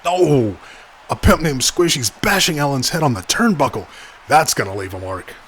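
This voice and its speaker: A man's voice: mid-range voice, lively intonation, moderate pace, a bit bright, breathy edge. A man playing an earnest, chatty play-by-play commentator in a cartoon wrestling show. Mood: earnest, narrating. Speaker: match commentator.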